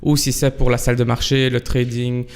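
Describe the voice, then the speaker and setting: A man in his thirties with a Belgian accent, answering interview questions in a halting, conversational way. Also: mid-range voice, level tone, creaky. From Antwerp, Belgium. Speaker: fintech professional, interview guest. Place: broadcast studio.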